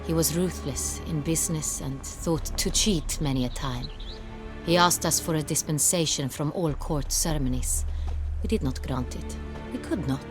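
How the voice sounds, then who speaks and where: An actress playing a haughty, formal young duchess in a video game, quick to indignation. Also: female voice, deep voice, music in the background, lightly acted. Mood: stern. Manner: formal, speaking with the royal we. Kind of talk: video game character acting.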